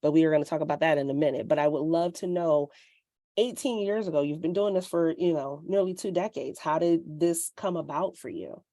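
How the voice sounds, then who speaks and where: A woman hosting a webinar panel, asking questions in an unhurried, informal, conversational way. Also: sits low, dark tone, dry recording, clean studio sound. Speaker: webinar host and moderator.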